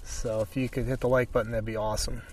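A man teaches painting in a casual, informal way, thinking aloud in short phrases as he works. His voice sits at an ordinary middle pitch. He is recorded a little way from the microphone. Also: flat delivery, quick pace, a little mellow, far from the mic. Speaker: painting instructor. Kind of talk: casual painting tutorial commentary.

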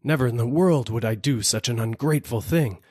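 A man's voice: medium pitch, a little fast, a little mellow, husky, no echo, clean studio sound, voice pushed up, lightly acted. A man voicing a sly, hungry male tiger who coaxes and pleads in a storybook reading. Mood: wheedling. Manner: coaxing, pleading.

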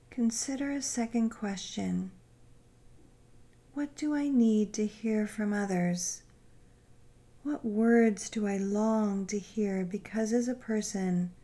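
A woman leads a guided meditation in a soft, mellow voice of medium pitch. She speaks very slowly and soothingly, leaving generous pauses between gentle phrases. The delivery stays relaxed and unhurried throughout.

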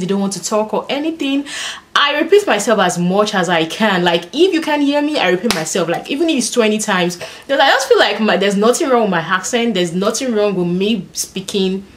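A young woman with a Nigerian accent, talking casually to camera in a small bedroom, chatty and animated, with lots of "like" and "and stuff.". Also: medium pitch, a little fast. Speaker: vlogger.

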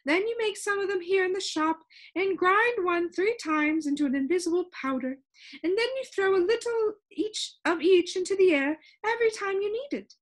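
A very high-pitched, bossy storybook lady, as a woman reader acts her out. She is fussy and theatrical, and her commanding voice swoops widely from word to word. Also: animated, moderate pace, low-quality recording. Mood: eager explaining. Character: bossy, fussy, imperious woman keeper of sounds. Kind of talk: children's storybook character voice.

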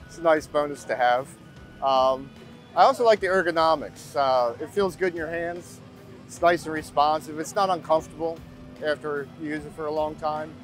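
A man with a somewhat high voice speaks in an on-camera testimonial. He is recorded outdoors, with faint music underneath. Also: normal speed. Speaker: lawn fertilizing company mechanic. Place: outdoors at a trade expo field.

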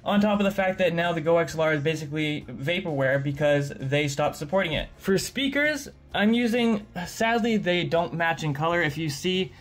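A man with a light, higher-pitched voice talking through his gaming and streaming setup in a chatty, upbeat way. He sounds eager about his gear and speaks on a studio microphone in a home room with a touch of echo. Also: animated, moderate pace, few pauses, fairly bright tone. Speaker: streamer and video creator. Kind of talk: casual setup tour. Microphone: studio dynamic mic on arm.

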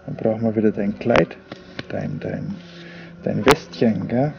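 A lowish male voice, talking loosely and rambling with lots of fillers. He sits outdoors at a forest camp at night, close to the camera mic. Faint crickets and insects sound behind him. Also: measured pace, vocal fry, darkish tone, dull audio, a little hiss or hum. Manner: rambling, talks to his dog, fillers. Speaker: outdoor vlogger. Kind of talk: casual talk to camera.

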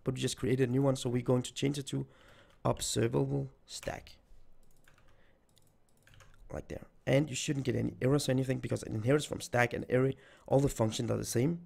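A man's voice: mid-range voice, little pitch movement, fairly quick, creaky, a little mellow, husky. A man who is nearly losing his voice, talking through a coding tutorial while he types. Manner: thinks aloud while typing code. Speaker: programming tutor. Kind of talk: screen-recorded coding tutorial.

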